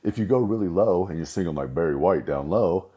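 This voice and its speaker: A middle-aged man with a low voice, talking casually and rambling with restarts. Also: animated, a little fast, warm tone. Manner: rambling, self-correcting storyteller. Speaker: host. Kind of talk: casual monologue.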